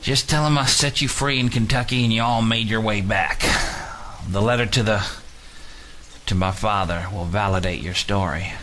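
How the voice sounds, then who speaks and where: A man voicing a gruff, forceful dying prison guard. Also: on the low side, moderate pace, close to an everyday voice. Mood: resolute. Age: 50s. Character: gruff, dying prison guard in his fifties, commanding yet honorable. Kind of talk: dramatic audiobook character dialogue. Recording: noisy amateur home recording.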